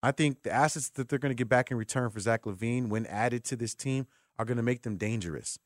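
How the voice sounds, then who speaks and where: An American man hosting sports talk radio, talking with callers in an informal, animated, conversational way. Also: mid-range voice, brisk, dry recording, clean studio sound. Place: radio studio. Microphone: close broadcast microphone.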